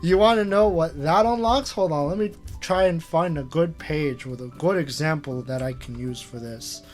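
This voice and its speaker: A man's voice: on the high side, moderate pace, faint music behind. A man hosting a casual screen-share retrospective, rambling with lots of fillers and chuckling at himself. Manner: rambling, filler-heavy, self-amused. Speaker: channel host.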